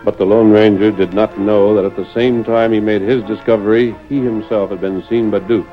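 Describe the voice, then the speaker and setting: Low-voiced man narrating muffled vintage radio western. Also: fairly even intonation, brisk, over loud music. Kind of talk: old-time radio scripted narration.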